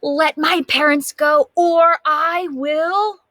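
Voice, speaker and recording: Woman voicing a brave, defiant little girl, raised pitch. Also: fairly even intonation, slow, no room sound, clean studio sound. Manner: shouting, insistent demands. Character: brave, angry little girl confronting villains.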